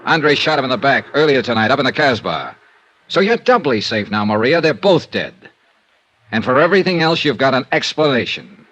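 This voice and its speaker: A man's voice: mid-range voice, big pitch swings, fairly quick, a bit bright, lo-fi sound. An actor playing a cynical, world-weary male insurance investigator in a terse, sardonic 1950s radio noir style. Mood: cold, sardonic. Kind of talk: 1950s radio noir acting and first-person narration. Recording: noisy old radio drama recording.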